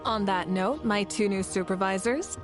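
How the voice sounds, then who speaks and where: A woman's voice: mid-range voice, moderate pace, lightly acted. A woman voicing a confident female leader of a nation, assured and commanding in a friendly way. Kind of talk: game voice acting. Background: game music.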